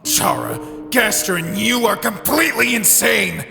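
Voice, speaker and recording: A man acting a hot-tempered, outraged young prince in a dramatic comic dub, forceful and accusing. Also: high-pitched, animated, a little slow, creaky, heavily acted. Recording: long hall reverb, music behind.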